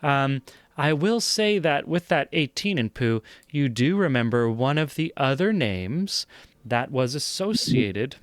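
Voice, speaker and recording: A man running a tabletop role-playing game as game master, narrating with many pauses and fillers. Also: medium pitch, sing-song, no echo.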